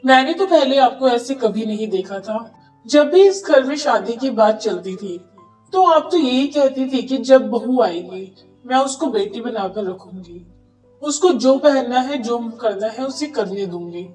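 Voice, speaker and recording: A woman's voice: fairly high pitch, lively intonation, measured pace, a little mellow, echoey room, distant mic, faint music behind, low-quality recording. A woman voicing an earnest young husband who reproves like a dutiful son, in a cartoon story. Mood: reproachful.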